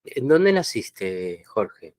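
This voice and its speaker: An Argentine man asks his guest questions at a slow, deliberate pace, drawing answers out with probing follow-ups. His voice is close and dry, with no room sound around it. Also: lowish voice, big pitch swings, a little mellow, dry recording. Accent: Argentine Spanish. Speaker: interviewer host. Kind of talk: interview questions.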